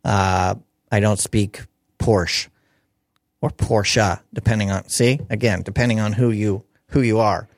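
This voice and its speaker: A hoarse man with a slightly low voice hosts a call-in radio show. He rambles casually through tech news with plenty of ums and restarts, close to a dry studio mic. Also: fairly even intonation, unhurried, no echo. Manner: rambling, chatty, many fillers and restarts.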